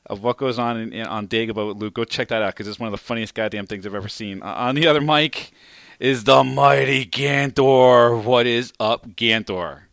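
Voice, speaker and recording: A male podcast host who jokes loudly and swears while introducing guests. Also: medium pitch, normal speed, gravelly, dry recording, studio quality. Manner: loud, joking, swears. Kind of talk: casual podcast chat.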